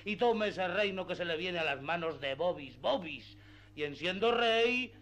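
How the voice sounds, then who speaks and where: A man's voice: high voice, expressive, a little slow, fairly bright tone, exaggerated voice. An actor playing a simple, greedy peasant squire in a comic radio drama, exclamatory and rustic in his protests. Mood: indignant, pleading.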